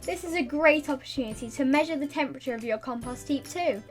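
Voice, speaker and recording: High-pitched woman narrating a how-to video. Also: quick pace. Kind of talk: scripted how-to voiceover. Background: background music.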